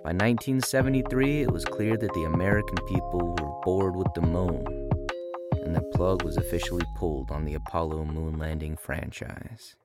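A man's voice: steady tone, normal speed, music in the background, lightly acted. An actor playing a bitter, conspiratorial man with an ominous, dramatic delivery in an audio drama monologue. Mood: grave, threatening. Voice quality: very deep, gravelly. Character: bitter, conspiratorial man reading an angry one-star review.

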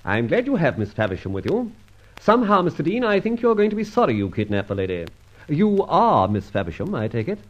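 An actor playing a suave, flippant gentleman highwayman in an old radio adventure serial, urbane and mock-formal. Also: huge pitch swings, a little fast. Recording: dull old radio transcription recording.

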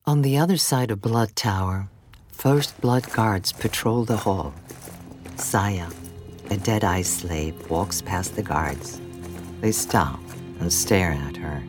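A man narrating a scripted audio drama in the present tense, in a measured, dramatic storytelling manner. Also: low-pitched, soft background music.

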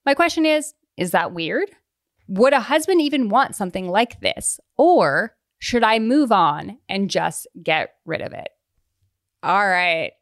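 A woman podcast host talks in a casual, conversational way at a middling pitch. She moves easily between reading and chatting. The sound is close and dry, with little room to it. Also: big pitch swings, unhurried, dry recording. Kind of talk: casual advice podcast chat, reading out a listener question.

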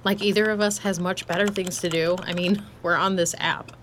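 A woman's voice: fairly low pitch, fairly even intonation, a little fast, a bit bright, close to an everyday voice. A woman playing a brash, cheeky app user in a naturalistic audio drama, talking in casual, flippant slang. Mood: teasing, impatient. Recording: noticeable background noise and ambience.

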